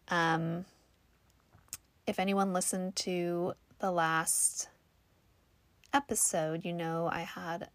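A woman's voice: medium pitch, gravelly, dry recording. A young woman hosting her own podcast, talking casually with a soothing voice and many fillers. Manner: chatty, self-deprecating, lots of fillers. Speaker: podcast host. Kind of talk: casual solo podcast chat.

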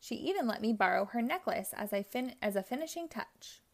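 A woman reading a children's picture book aloud, narrating in a gentle, expressive storytelling manner. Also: on the high side, fairly quick. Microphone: audio only, close mic.

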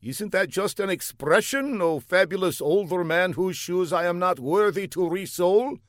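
A man's voice: high-pitched, moderate pace, no echo, studio quality, voice pushed up, natural voice. A man voicing a flustered, fawning young bearded courier, speaking stilted, overly formal broken English in a raised voice. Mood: puzzled.

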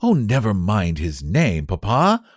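A man voicing a gentle, sweet-natured young lady, a squire's daughter, in a storybook reading. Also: fairly low pitch, wildly swooping pitch, measured pace, dry recording, studio quality, exaggerated voice. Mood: coaxing. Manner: sweet, coaxing.

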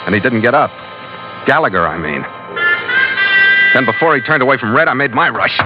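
A man's voice: mid-range voice, very animated, brisk, over loud music, natural voice. Man acting a hard-boiled ship's skipper, muffled old radio. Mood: grim, dry. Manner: clipped, hard-boiled, dry. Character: tough, world-weary ship's skipper narrating his adventures.

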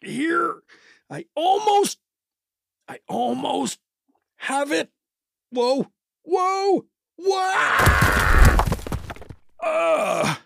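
A man playing a hapless, polite, excitable everyman in a fantasy audio drama, folksy and easily flustered. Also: very high voice, very slow, gravelly, pauses often, background noise, exaggerated voice. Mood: straining, panicky.

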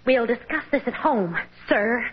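High-voiced flustered waitress wife. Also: female voice, lively intonation, measured pace, husky. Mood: embarrassed, pleading. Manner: polite, pleading, flustered. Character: patient, embarrassed middle-aged wife working as waitress. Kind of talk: 1940s-50s American radio comedy acting. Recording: very noisy, muffled vintage radio recording.

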